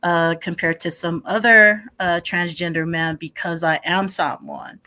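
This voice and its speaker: A man's voice: a little high, measured pace, bright, forceful tone. A man speaking earnestly as a panelist, hesitating often with "uh". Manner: earnest, hesitant, frequent "uh" fillers. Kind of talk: panel discussion.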